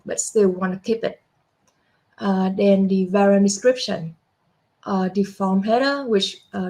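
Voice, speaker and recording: A young adult woman walking through a software demo step by step, unhurried, with frequent fillers and self-corrections. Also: mid-range voice. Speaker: software product presenter. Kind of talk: screen-share software demo walkthrough.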